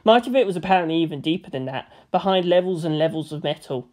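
Low-voiced woman narrating an audiobook. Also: expressive, fast talker, darkish tone.

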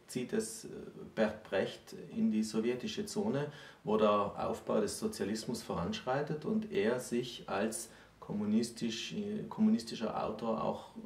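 A man in his forties, a historian explaining in a measured, factual, academic way during a conversation. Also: on the low side, a little mellow. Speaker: historian, regular guest expert. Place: small book-lined room, indoors. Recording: roomy sound, distant microphone.

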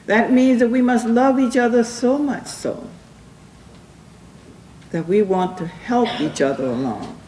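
An older woman gives an exhorting talk with very lively intonation. The recording is roomy and a little distant, with faint noise. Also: on the high side, unhurried, long pauses. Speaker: speaker at a religious conference. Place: indoor conference hall. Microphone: podium microphone.

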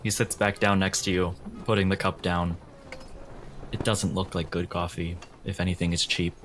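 A man working as a game master, giving atmospheric narration for a tabletop game over soft guitar music. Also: low-pitched, flat delivery, moderate pace, a little hiss or hum.

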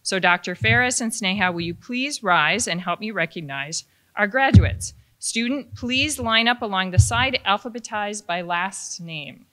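A woman's voice: mid-range voice, lively intonation, a little slow, hardly any pauses, sharp tone. A woman at a podium in a large wood-panelled hall, leading a graduation ceremony with formal announcements and light jokes, speaking into a podium microphone. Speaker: ceremony presenter. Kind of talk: commencement address at a podium.

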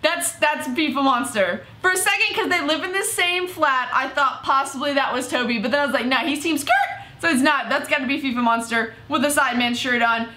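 A woman in her thirties reacting to a video from her home gaming room, animated and exclaiming, her voice slightly hoarse. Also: brisk, hardly any pauses. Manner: animated, exclaiming, sponsor read in lower voice. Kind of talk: excited reaction commentary.

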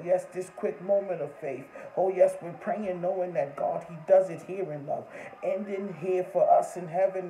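A man leading a fervent spoken prayer, repeating short refrains in a steady rhythm. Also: sits high, reverberant, a little hiss or hum, low-quality recording.

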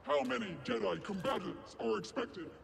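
A man voicing an arrogant, ruthless combat droid in a video game, clipped and deadpan through robotic processing. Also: sits high, normal speed, full character voice. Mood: cold, superior. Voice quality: gravelly, mechanical.

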